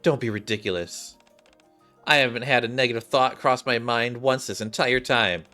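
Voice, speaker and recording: A man's voice: mid-range voice, moderate pace, sharp tone, lightly acted. A man voicing an eager, boastful young female survivalist rookie from a video game, chatty and overconfident, with music behind. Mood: defensive.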